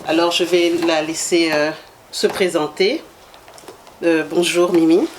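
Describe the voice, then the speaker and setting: A woman's voice: lowish voice, steady tone, a little slow, a little hiss or hum, poor audio. A woman hosting a web TV interview, introducing her guest and asking questions in a friendly manner. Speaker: host.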